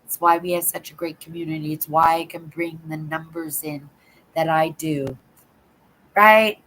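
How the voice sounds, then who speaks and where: Older woman, livestream host, hoarse from a sore throat; rambling chatty delivery, pitched slightly low. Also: fairly even intonation, normal speed, fairly bright tone, slight background noise, low-quality recording. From Canada. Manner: rambling, chatty, keeps adding "right?". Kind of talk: casual live chat to viewers.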